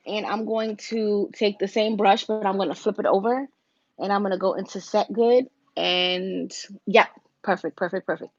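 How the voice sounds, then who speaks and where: A woman with a raspy voice, casually talking viewers through a makeup look on a livestream, step by step. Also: mid-range voice, steady tone, normal speed, no echo, highs cut off. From Virginia, US. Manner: chatty, talks viewers through each step. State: raspy, deeper voice than usual.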